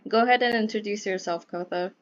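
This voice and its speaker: A woman's voice: medium pitch, fast talker, dull audio. A young woman hosting a student interview from home, friendly and chatty with many fillers.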